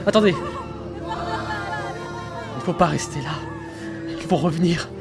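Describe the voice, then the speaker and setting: A man's voice: fairly high pitch, unhurried, creaky, over a noisy crowd. A man plays a young fisherman in an audio drama, shaken and earnest after escaping ghosts. Prominent music and background clamour surround the voice. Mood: urgent, grateful. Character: dazed, grateful young fisherman rescued from ghosts. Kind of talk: French audio drama acting.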